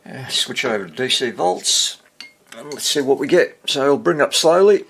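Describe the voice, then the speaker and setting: A man with a husky voice giving a casual hands-on repair demonstration, half muttering to himself as he works. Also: mid-range voice, animated, moderate pace, a bit bright, slight background noise. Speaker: hobbyist radio repairer.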